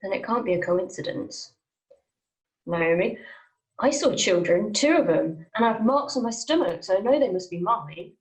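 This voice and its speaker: A guarded, secretive woman played by a woman in a dramatic table read. She speaks seriously and with measure, keeping a middling pitch, like someone keeping a small group in line. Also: animated, moderate pace, natural voice, low-quality recording. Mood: urgent.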